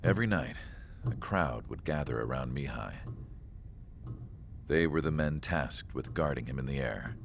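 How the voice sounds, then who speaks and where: A wistful, measured storyteller with a very deep, gravelly voice. He looks back on the past in calm narration for a game cutscene, with faint noise behind him. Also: full character voice.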